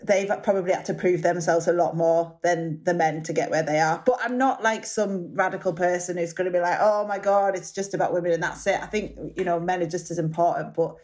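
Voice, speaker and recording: A woman's voice: on the low side, steady tone, a little fast, few pauses, darkish tone. A woman speaking as a podcast guest, earnest and laughing easily as she makes her points. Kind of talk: conversational interview.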